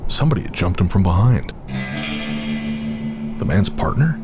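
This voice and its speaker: A man's voice: low voice, sing-song, moderate pace, over loud music. A man narrating a western horror story in a dramatic, scripted audio drama style.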